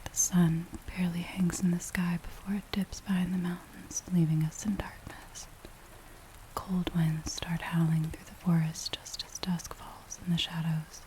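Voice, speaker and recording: A woman playing a frightened villager in a soft, breathy, whispered ASMR roleplay, speaking in a hushed, hesitant way. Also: fairly low pitch, natural voice, poor audio. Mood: hushed, foreboding. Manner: hushed, hesitant, slow storytelling. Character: frightened village woman pleading with a werewolf tracker. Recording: steady rain-like background noise. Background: rain sounds.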